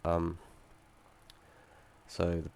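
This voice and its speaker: A young man with a croaky voice from feeling unwell, explaining his game project and code with frequent ums and restarts and the odd dry aside. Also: deep voice, monotone, drawn-out words, darkish tone. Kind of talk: screen-recorded code walkthrough.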